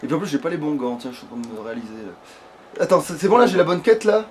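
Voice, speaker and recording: A man's voice: on the high side, racing. A man chats casually while gaming, rambling in a slangy, jokey way. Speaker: let's play gamer. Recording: noisy home recording, steady background noise.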